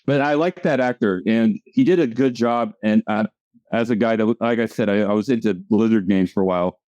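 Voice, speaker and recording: A man's voice: lowish voice, brisk, a little mellow, dry recording. A man, a comic strip creator, chatting casually as an interview guest, rambling and often restarting his sentences. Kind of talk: casual conversation. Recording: dull lo-fi audio.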